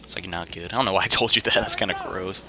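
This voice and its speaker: A man's voice: low-pitched, fast. A young man giving casual, rambling game commentary full of slang and jokey asides. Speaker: gaming video host. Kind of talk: casual let's play commentary.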